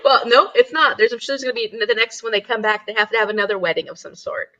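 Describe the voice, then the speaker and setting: A woman's voice: fairly high pitch, fast talker, sharp tone, dull audio, slight background noise. A woman co-hosting an online book discussion in a casual, chatty style.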